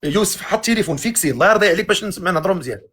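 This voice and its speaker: A man with a Moroccan accent hosting a matchmaking live stream, teasing guests with quick-fire questions. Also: high voice, expressive, fairly bright tone, a little hiss or hum.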